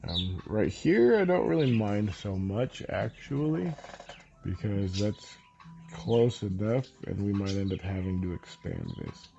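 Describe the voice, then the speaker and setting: A man's voice: fairly low pitch, a little slow, vocal fry, muffled recording, a little hiss or hum. A man with a stuffy, congested voice chatting casually with many ums while he paints a model and explains each step. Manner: relaxed, rambling with many ums. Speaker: miniature painting channel host.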